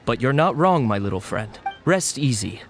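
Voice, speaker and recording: A man playing a composed government guard in a video game, speaking in a formal, measured and courteous way. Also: medium pitch, big pitch swings, faint music behind. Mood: calm, official. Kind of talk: video game character acting.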